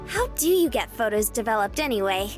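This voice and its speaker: A woman voicing a bubbly, affectionate young woman in a visual novel, playful, girlish and expressive. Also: on the high side, a little fast, music in the background. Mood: excited, affectionate.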